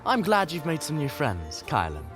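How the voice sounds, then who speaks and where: A man's voice: on the high side, wildly swooping pitch, moderate pace, over music. An actor in an audio drama playing a playful, flamboyant adventurer who chats and teases his friends theatrically. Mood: friendly, chatty. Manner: teasing, flamboyant, chatty. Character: playful, theatrical, teasing male adventurer and mentor.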